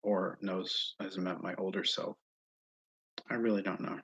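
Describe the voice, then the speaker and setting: An American man chatting casually and haltingly on a livestream, often restarting his sentences as he thinks aloud. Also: low-pitched, level tone, fairly bright tone, breathy edge, dull audio. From Northeast United States, mostly Massachusetts. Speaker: livestream host answering viewer comments. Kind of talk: casual rambling livestream chat.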